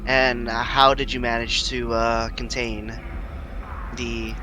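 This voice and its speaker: A man's voice: mid-range voice, flat delivery, takes it slowly, background noise. A man playing a tabletop role-playing game, asking questions casually and hesitantly as he thinks aloud.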